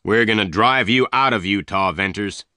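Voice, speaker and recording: A man's voice: lowish voice, normal speed, bright, forceful tone, dry recording, studio quality. A man voicing an angry, jealous and commanding boss in a western story, speaking in threats. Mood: threatening.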